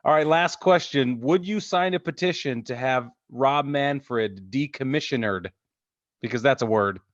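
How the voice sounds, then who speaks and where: A man's voice: medium pitch, measured pace, dry recording, dull audio. A man hosting a podcast, chatting informally and jokingly about sports. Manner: jokey, informal. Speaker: podcast host. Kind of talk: casual sports chat.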